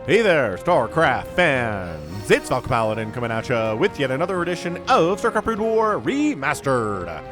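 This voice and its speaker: A man's voice: medium pitch, normal speed, hardly any pauses, over music. A man with a congested voice from a cold, casting a strategy game match in a chatty, joking way. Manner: chatty, joking asides, excited at fights. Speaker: StarCraft caster and show host. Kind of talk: casual game commentary.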